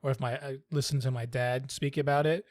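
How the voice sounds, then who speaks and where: A man's voice: medium pitch, steady tone, fairly quick, dry recording. A young man co-hosting a podcast, rambling and searching for words as he asks questions. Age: young adult. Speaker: podcast co-host. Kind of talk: casual chat, asking questions.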